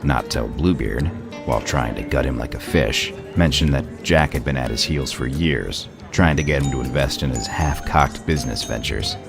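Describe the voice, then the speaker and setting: A gruff detective played by a man in an audio drama. The voice is extremely low and creaky, with a dry, sardonic edge. Music plays clearly underneath. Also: moderate pace. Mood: dry, matter-of-fact. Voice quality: gravelly. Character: gruff, sardonic male detective laying out a case. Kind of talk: audio play acting, detective explaining a case.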